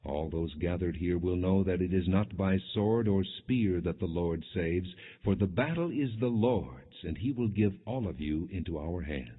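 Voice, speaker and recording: A man's voice: low voice, lively intonation, normal speed, hardly any pauses, breathy edge. A man reading the words of a bold, devout young shepherd warrior in an earnest, declaiming way for an audiobook. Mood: defiant. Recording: muffled, phone-like narrow band.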